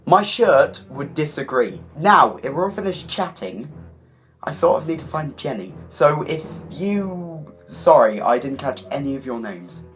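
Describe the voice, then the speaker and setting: Audio drama lead, male actor: eccentric alien time traveller, wry, flippant, lively intonation. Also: a little high, faint music behind. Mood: wry, defiant. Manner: flippant, wry, quick-witted.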